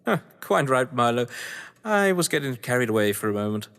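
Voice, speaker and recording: An actor playing a whimsical, scheming crime-novelist lord of the manor, with a British accent and a pompous, florid delivery, in a stage-thriller audio drama. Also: male voice, medium pitch, very animated, brisk, fairly bright tone, clear, resonant tone. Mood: wry, smooth. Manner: florid, pompous, playfully grandiose. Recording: long hall-like reverb.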